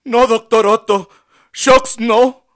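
A man doing a frantic, shrill asylum patient. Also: very slow. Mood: panicked. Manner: shrill pleading outbursts. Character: frantic male asylum patient fighting shock treatment.

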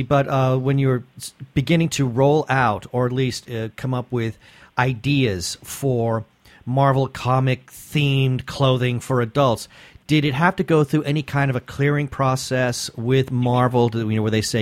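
A man co-hosting a podcast, putting questions to a guest in casual conversation. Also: medium pitch. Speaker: podcast co-host, interviewer. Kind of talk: casual interview chat.

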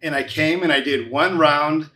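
A man of forty-two with a slightly high voice speaks as a guest in an interview. He gives a conversational testimonial, repeating key phrases for emphasis. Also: moderate pace, bright, forceful tone. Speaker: interview guest, financial advisor.

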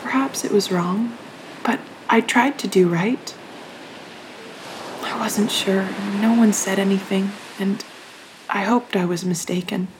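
Actress as frail nineteen-year-old sister for period radio play: gentle, resigned, halting, medium pitch, noisy hiss behind. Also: female voice, close to an everyday voice. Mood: quiet, sorrowful. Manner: soft-spoken, halting, earnest. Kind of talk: audio drama acting of a period novel.

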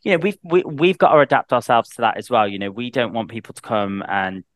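A man's voice: medium pitch, sing-song, a little fast, no echo. A man with a Northern English accent, a guest on a podcast, talking in a chatty, rambling way with many restarts. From Northern England. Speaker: guest, head of sales. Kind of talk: casual podcast chat.

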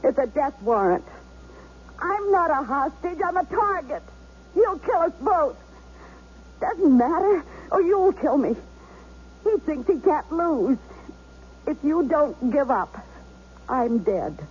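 An actress playing a policeman's battered, desperate wife held hostage, in intense 1970s radio drama style with emphatic pauses. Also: sits high, very animated, full character voice. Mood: desperate warning. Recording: dull, faintly noisy 1970s radio recording.

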